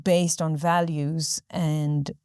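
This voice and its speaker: A woman's voice: low voice, level tone, extremely slow, a little mellow, clear, resonant tone, dry recording. A woman whose first language is Hebrew, speaking English as a guest in a podcast studio, thoughtful and sometimes searching for words. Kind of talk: conversational interview. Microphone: studio microphone.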